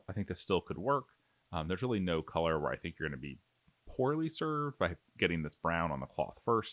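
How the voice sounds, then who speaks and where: A man's voice: low voice, a bit bright, dry recording. A man giving a casual, explanatory step-by-step tutorial voice-over in an informal way. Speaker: miniature painting tutorial host.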